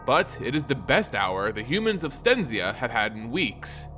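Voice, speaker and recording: Animated male fantasy narrator over soft music. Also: medium pitch. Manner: theatrical, solemn, deliberate phrasing. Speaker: story narrator. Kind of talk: dramatic scripted fantasy narration.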